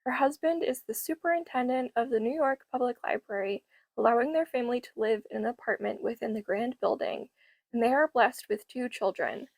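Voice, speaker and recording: Young woman, slightly hoarse, gravelly, fairly high voice, enthusiastic book vlog, dry close sound. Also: fairly quick, a little mellow, no room sound. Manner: enthusiastic, gushing. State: slightly hoarse, losing her voice. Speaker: book vlogger. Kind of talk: casual book haul chat.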